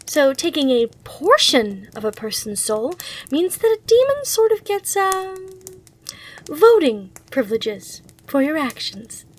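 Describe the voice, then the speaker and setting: A woman's voice: sits high, big pitch swings, a little slow, slight background noise. A woman voicing a sly, courteous female demon hotel receptionist in an ASMR roleplay, with reassuring customer-service patter. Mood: reassuring, coaxing.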